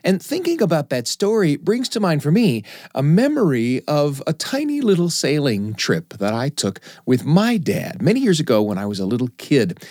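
A middle-aged man hosting and telling stories in an expressive, deliberate way with dramatic phrasing. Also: on the high side, no echo, studio quality. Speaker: host. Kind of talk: warm conversational radio storytelling. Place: radio studio.

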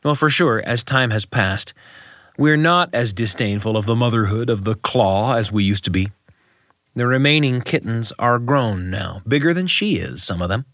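A man in middle age narrates a personal tale in a reflective, deliberate way. His voice sits at an ordinary male pitch, and he breaks sentences into short phrases with pauses. The recording is dry and free of room sound. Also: expressive, a bit bright, no room sound. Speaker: storyteller. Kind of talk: personal storytelling monologue, scripted narration.

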